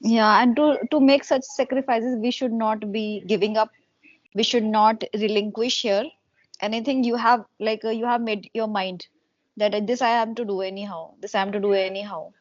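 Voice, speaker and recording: A woman's voice: a little high, fairly even intonation, normal speed, no room sound. Dry, muffled woman host. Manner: fluent, reflective, with fillers like "you know". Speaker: host, English conversation partner. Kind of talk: casual conversation practice.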